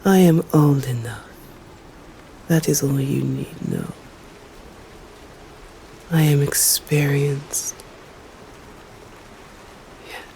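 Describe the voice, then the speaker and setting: A woman with an English accent voicing an ancient, stern female vampire in an ASMR roleplay, speaking formally in slow, hushed whispers. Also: deep voice, vocal fry, a little hiss or hum, full character voice, rough recording. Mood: coy.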